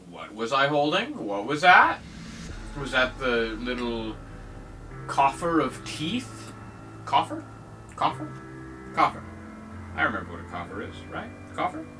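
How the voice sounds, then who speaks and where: A man's voice: medium pitch, wildly swooping pitch, unhurried, pauses often, bright, forceful tone, recorded from a distance, soft background music. A man giving jokey, rambling let's-play commentary on a game, with mock-dramatic outbursts. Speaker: gaming video host.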